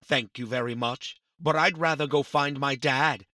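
A woman's voice: rumbling low, normal speed, pushed and bright, no echo. A woman voicing a proud, childlike, very intelligent little robot with a sassy, literal manner in a family audio drama. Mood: indignant, proud. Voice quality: funny-sounding. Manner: sassy, literal, self-satisfied.

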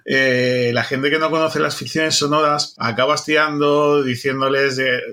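A man's voice: medium pitch, steady tone, unhurried, pushed and bright. A man in casual conversation, explaining his points in a chatty way.

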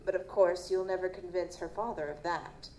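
A woman's voice: lowish voice, fairly even intonation, dark tone, natural voice. Woman acting a cool medical examiner, roomy sound. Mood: measured, evasive. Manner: formal, measured, dismissive. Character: cool, guarded female chief medical examiner. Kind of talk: audio drama dialogue. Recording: roomy, noisy live stage recording.

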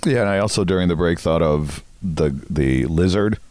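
A man's voice: low-pitched, moderate pace, a little mellow, no echo. A man in his fifties or older, co-hosting a podcast with casual comic banter. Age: 50s or older. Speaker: podcast co-host.

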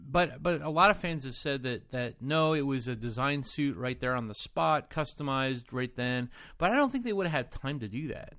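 A man with an average-pitched voice co-hosts a casual film discussion. He talks in a playful, mock-serious way. The sound is very dry, with no room echo. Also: lively intonation, moderate pace, few pauses, sharp tone. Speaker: podcast co-host.

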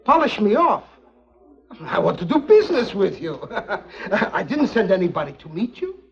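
A greedy, jovial middleman in secrets from a 1950s TV spy drama. His voice is fairly high and very animated, with a slippery, formal way of talking. The old film sound is muffled. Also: normal speed, creaky, full character voice. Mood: protesting, evasive. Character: jovial, greedy European male go-between selling secrets.

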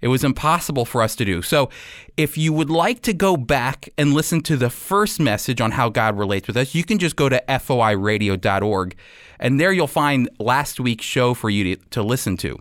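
Lively male radio Bible teacher, dry sound. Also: medium pitch, normal speed, no room sound. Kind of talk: radio sermon, Bible teaching with scripture reading.

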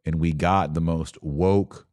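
A man's voice: a bass voice, fairly even intonation, takes it slowly, a little mellow, no room sound, clean studio sound. A middle-aged American man hosting a religious commentary podcast, speaking off the cuff and stretching key words for emphasis, with conversational asides. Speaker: podcast host.

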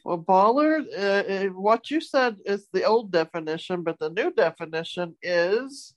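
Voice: medium pitch, animated, measured pace. A podcast co-host chatting casually with a group and explaining things in an informal way.

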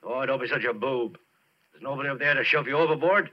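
An actor playing a brusque, impatient oil drilling boss with pushy, colloquial talk, in 1940s radio drama style. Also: male voice, mid-range voice, fast, natural voice. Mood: impatient, bossy. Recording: muffled vintage 1940s radio recording.

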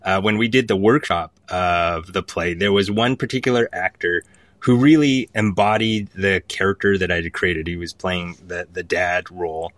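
A man with a low voice talks casually as a guest writer on a panel. He is emphatic and repeats phrases to make his point. The sound is very dry, with little room in it. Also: fairly even intonation, moderate pace, fairly bright tone, no room sound. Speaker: playwright, podcast guest.

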